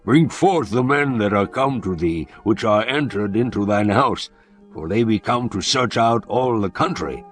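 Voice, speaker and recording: A man's voice: fairly low pitch, expressive, a little slow, soft background music. A man playing a stern demanding king.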